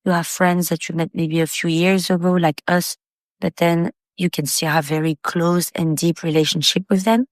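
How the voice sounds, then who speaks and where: A woman's voice: fairly low pitch, fairly even intonation, normal speed, no room sound. A woman from Paris, France, chatting casually as a guest on a podcast. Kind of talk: casual chat.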